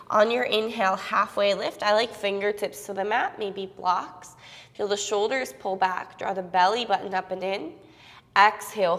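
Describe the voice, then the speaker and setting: A young woman guiding a yoga class at an even, unhurried pace, her cues calm and steady. She sounds a bit sniffly from a cold and speaks into a clip-on mic. A long reverb hangs on her voice, as in a large room. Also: medium pitch, gravelly. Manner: calm, steady cueing with soft fillers. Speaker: yoga instructor.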